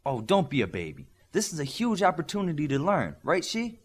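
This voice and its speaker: Young male superhero trainee, earnest and eager, lively range, played by a male audiobook reader. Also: on the high side, fairly quick, husky, pitched above the performer's own voice, exaggerated voice. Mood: excited. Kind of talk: audiobook character dialogue.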